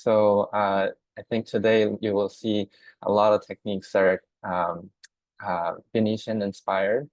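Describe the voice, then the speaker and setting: Halting, low-voiced man giving a slide talk. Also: no room sound. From Hong Kong. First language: Japanese. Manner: halting, many fillers and restarts. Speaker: glass artist giving a talk. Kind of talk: presentation with slides.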